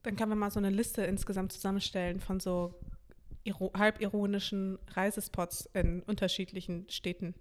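A woman's voice: mid-range voice, little pitch movement, moderate pace. A young woman co-hosting a podcast in casual chat, playful with a dry humor. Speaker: podcast co-host.

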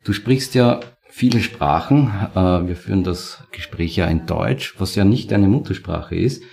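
A man's voice: low voice, lively intonation, unhurried, vocal fry, darkish tone. A man hosting a podcast interview, asking long, winding conversational questions.

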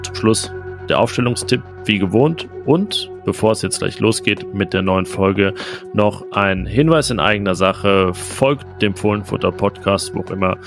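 A man's voice: fairly low pitch, moderate pace, music in the background. A man hosting a casual football podcast, chatty with frequent fillers. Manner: conversational, filler-heavy. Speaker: podcast host, sports reporter.

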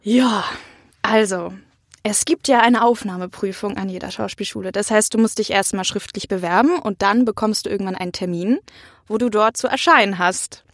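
A woman's voice: mid-range voice, normal speed, no echo, studio quality. A young woman chatting casually as a podcast host being interviewed, nervous and a little giggly. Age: young adult.

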